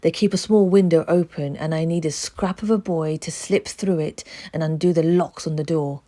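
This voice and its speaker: A woman voicing a brutal male burglar who snarls loud threats. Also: low-pitched, fairly even intonation, moderate pace, close to an everyday voice. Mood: menacing.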